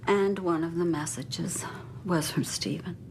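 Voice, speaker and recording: An older woman speaking in a measured, deliberate and plain-spoken way in a reflective documentary interview. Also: fairly low pitch, steady tone, slight background noise. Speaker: bereaved mother giving testimony.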